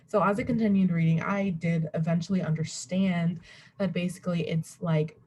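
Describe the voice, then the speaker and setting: Mellow-voiced young woman presenting informally. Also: lowish voice, steady tone, normal speed. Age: young adult. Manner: rambling, self-interrupting, chuckles at herself. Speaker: student presenter. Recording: webcam recording with faint background noise.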